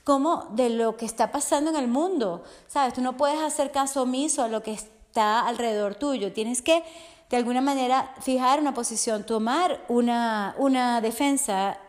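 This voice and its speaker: A woman with a somewhat high voice and a slight hoarseness talks straight to her listener in a motivational podcast. She speaks as a coach, earnest and heartfelt, often pausing to ask whether the listener is following. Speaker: resilience and fitness coach.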